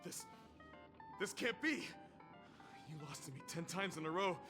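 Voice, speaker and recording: A man's voice: sits high, expressive, unhurried. An actor voicing a mocking, condescending male gambler in a video game, with music underneath. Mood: stunned disbelief.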